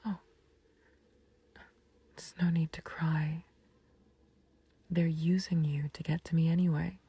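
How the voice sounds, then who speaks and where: A woman playing a calm, taunting villain in an intimate roleplay audio, speaking in a breathy near-whisper with unhurried condescension and mock sympathy. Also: sits low, dry recording, full character voice. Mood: condescending, coaxing. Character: calm, taunting female villain, menacing yet fond of a friend. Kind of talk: scripted roleplay audio acting, intimate ASMR.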